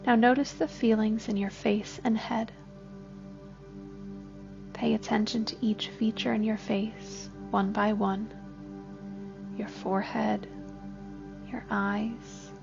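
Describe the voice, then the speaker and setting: Woman doing a gentle guided body scan, talks real slow, music playing behind her. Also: mid-range voice, creaky, lo-fi sound. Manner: gentle, unhurried instruction. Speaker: therapist guiding a meditation. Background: soft music.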